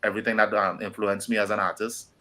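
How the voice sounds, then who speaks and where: A man's voice: on the low side, flat delivery, fast, bright, forceful tone. A middle-aged man with a Trinidadian accent, talking casually as a musician guest in an interview. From Trinidad and Tobago.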